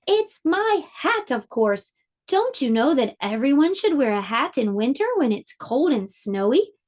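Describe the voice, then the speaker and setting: A woman's voice: sits high, big pitch swings, measured pace, darkish tone, full character voice. Woman doing a proud little hedgehog. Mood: indignant. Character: small proud male hedgehog, touchy.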